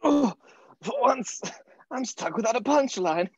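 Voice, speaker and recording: A performer voicing a manic, cackling male clown supervillain with a raspy, creaky voice in a table read of an animated film script. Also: mid-range voice, slow pace, no room sound, muffled recording. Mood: bitter glee.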